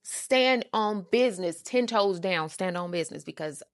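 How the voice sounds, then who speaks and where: Sassy woman storyteller with a creaky voice. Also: mid-range voice, moderate pace, no room sound, clean studio sound. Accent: American. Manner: animated, sassy, emphatic, slangy. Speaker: storytime show host. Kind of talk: casual storytelling, acting out quoted conversations.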